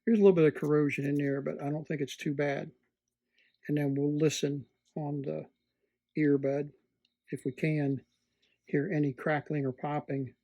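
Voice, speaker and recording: A man at an indoor workbench gives an unscripted, hands-on repair demonstration, thinking aloud in a halting way as he works. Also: on the high side, little pitch movement, vocal fry, mellow, dry recording. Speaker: electronics repair hobbyist presenting.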